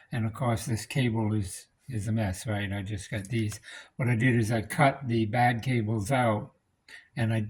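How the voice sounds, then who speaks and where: A man with a mid-pitched voice presents a repair in an offhand, unscripted way. He is plain-spoken and practical, working out his thoughts aloud as he goes. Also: darkish tone, slightly rough. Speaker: electronics restorer presenting a repair demonstration. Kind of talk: casual unscripted demonstration.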